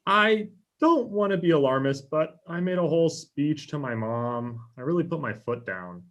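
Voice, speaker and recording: A man's voice: on the high side, lively intonation, normal speed, fairly bright tone, dull audio. A young man acting an anxious, eager-to-please twenty-four-year-old in a table read, earnest and pleading, on a video call. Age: young adult. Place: room at home, indoors.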